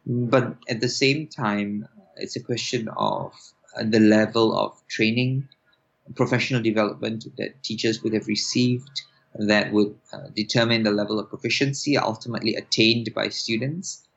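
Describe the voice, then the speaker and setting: A man in his forties from Singapore, an applied linguist answering interview questions in a measured way with many fillers and restarts. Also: fairly low pitch, fairly even intonation, vocal fry, a bit bright, slightly rough. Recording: dull lo-fi sound.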